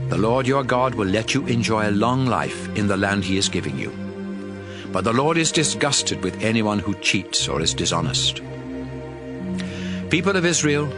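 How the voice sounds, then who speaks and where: Measured male scripture narrator with lively pitch. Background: music.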